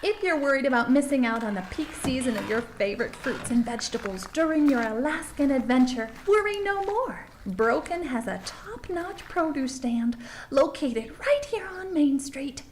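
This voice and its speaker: Woman acting as perky documentary host lady, salesy and gushing, highish voice, some background noise. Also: lively intonation, normal speed, poor audio. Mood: bright, promotional. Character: chirpy, polished woman presenter of a small-town tourism documentary. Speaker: presenter and interviewer. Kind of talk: promotional documentary narration and interviewing.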